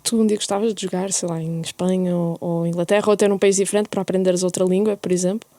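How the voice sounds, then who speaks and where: A woman with a European Portuguese accent hosts a casual podcast interview and asks friendly, teasing questions. Her voice sits in a middle pitch, and the recording sounds dry and close. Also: steady tone, quick pace, mellow, no echo. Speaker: podcast host.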